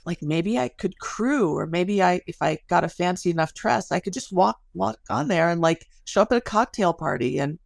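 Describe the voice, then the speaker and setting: A woman's voice: fairly low pitch, lively intonation, moderate pace, creaky, hardly any pauses, no room sound, studio quality. A middle-aged American woman explaining her research as an interview guest in an informal, articulate, storytelling way. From Chicago area, United States.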